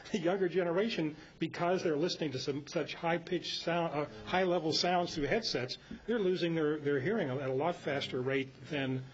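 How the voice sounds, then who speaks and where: A middle-aged or older American man with a fairly high voice presents informally at a club meeting. He rambles through anecdotes, and the recording is dull with a faint rumbly noise. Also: moderate pace, hardly any pauses.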